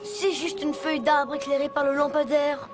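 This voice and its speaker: A woman's voice: high voice, little pitch movement, moderate pace, natural voice. A woman voicing a grumpy little talking dog in a children's cartoon, blustering and exasperated. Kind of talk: cartoon voice acting. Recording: music behind the voice.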